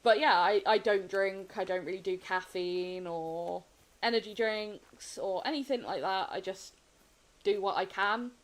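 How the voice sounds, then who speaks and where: A woman streaming a game, sniffly, chatting candidly and rambling with frequent soft laughs. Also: medium pitch, measured pace, low-quality recording. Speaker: gaming streamer. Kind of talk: casual chat while gaming.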